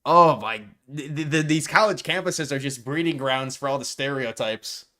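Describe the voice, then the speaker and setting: Man, live stream host, casual commentary, halting, restarts sentences, repeats words. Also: medium pitch, pushed and bright.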